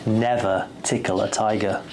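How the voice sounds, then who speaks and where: A man narrating a bedtime story for young children expressively, with playful, deliberate emphasis on words. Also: on the low side, fairly even intonation. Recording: faint background noise.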